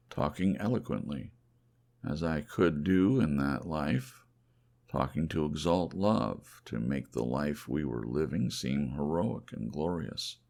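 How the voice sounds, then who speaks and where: An extremely low-pitched man narrates a literary audiobook. His voice has a heavy creak, and his delivery is measured and expressive, suited to ASMR storytelling.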